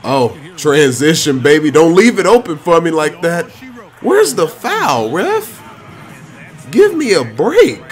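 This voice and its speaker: A man giving excited, slangy commentary on his own video game play, full of playful trash talk. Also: a little high, takes it slowly, soft background music.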